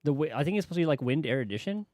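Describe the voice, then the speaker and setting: A man doing casual live reaction commentary as a game streamer, excitable and thinking out loud. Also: fairly high pitch, very fast, dry recording, clean studio sound. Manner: excitable, musing aloud.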